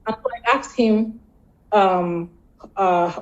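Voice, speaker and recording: A Nigerian woman guest chatting casually in an interview, halting and repeating words, often laughing. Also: medium pitch, highs cut off.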